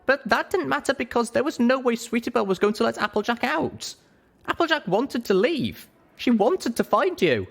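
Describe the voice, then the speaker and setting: Give this storybook heroine, acted out by a man, a small, high falsetto that swoops with feeling. She is a young pony mare, tender and earnest, pleading her case. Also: very animated, normal speed, creaky, a bit bright, full character voice. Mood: insistent, pleading.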